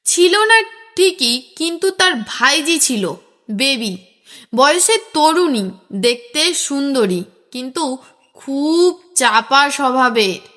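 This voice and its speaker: A woman acts a frightened young village tutor, a male witness in an audio story. The voice is high, nervous and hesitant as he confides. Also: big pitch swings, takes it slowly, a bit bright, exaggerated voice. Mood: nervous, confiding.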